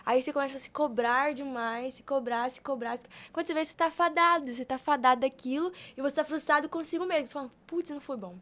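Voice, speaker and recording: A woman's voice: sits high, fast talker, few pauses, a bit bright. A young woman in casual conversation, speaking earnestly and colloquially, repeating phrases for emphasis in a motivational way. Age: young adult. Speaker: podcast guest.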